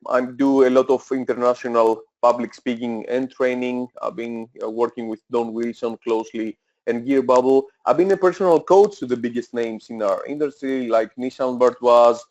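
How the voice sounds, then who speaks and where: A man's voice: mid-range voice, a little mellow, lo-fi sound. A man in his thirties with a Greek accent, presenting a sales webinar in an eager, persuasive way, with many fillers and self-corrections. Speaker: webinar presenter, e-commerce coach.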